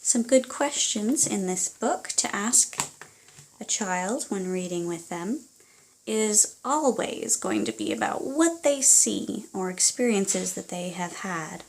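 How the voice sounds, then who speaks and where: A woman reading aloud for children and parents, in an expressive, deliberate storytelling manner. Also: mid-range voice, slight background noise, low-quality recording. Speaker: storybook reader.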